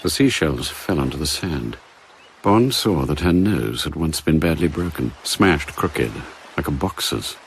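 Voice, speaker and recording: A grave male narrator tells a spy thriller in radio drama style. His voice is extremely deep and creaky, with expressive, measured swings and faint noise behind. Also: exaggerated voice. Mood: grave, observant. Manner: measured, literary.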